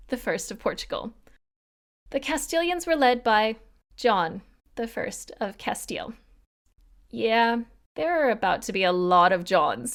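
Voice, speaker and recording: A woman hosting a podcast, reading a scripted history narration with wry, joking asides to the listener. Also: medium pitch. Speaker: podcast host.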